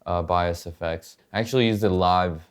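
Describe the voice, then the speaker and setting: Man, low pitch, smooth clean tone, laid-back, colloquial, casual demo talk. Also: normal speed. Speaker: guitarist and producer, interview guest. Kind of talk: casual demonstration and chat. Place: home studio.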